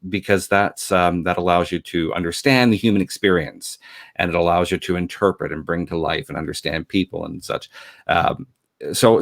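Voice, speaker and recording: A man from Canada with a low voice talks casually and at length. He is effusive and rambling, often doubling back on his words. The sound is dry, with very little room. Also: no room sound. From Canada, grew up in Montreal. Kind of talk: casual conversational chat.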